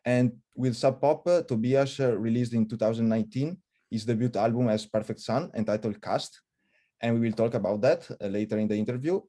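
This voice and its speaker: Mellow-voiced male interviewer, hesitant. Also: mid-range voice, brisk. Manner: hesitant, many fillers, laughs often. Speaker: interviewer host. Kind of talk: casual web interview. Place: virtual studio, remote call.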